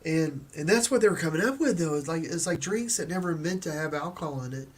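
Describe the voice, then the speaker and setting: A man's voice: fairly high pitch, lively intonation, breathy edge. Stuffed-up man chatting casually. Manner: halting, many restarts, laughs easily. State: stuffed-up nose. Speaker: co-host of a livestream. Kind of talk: casual chat.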